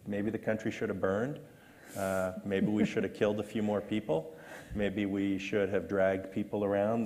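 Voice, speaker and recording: A lower-voiced man from Canada speaks at a panel with measured, dry asides. His voice carries the long reverb of a lecture hall. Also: darkish tone. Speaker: panelist on elections. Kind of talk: panel discussion.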